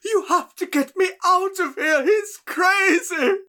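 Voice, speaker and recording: A woman's voice: extremely high pitch, slow, sharp tone, exaggerated voice. A woman playing a terrified, tearful captive pleading for rescue in an audio drama. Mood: panicked, tearful. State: tearful, frightened.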